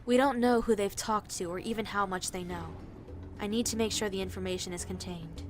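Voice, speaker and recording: A woman plays a cold female ringleader in an audio drama, scolding and condescending. She gives orders to underlings in an average-pitched voice, with faint music underneath. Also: steady tone, brisk, natural voice. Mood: stern, controlled. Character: cold, bossy female conspirator commanding two bungling underlings.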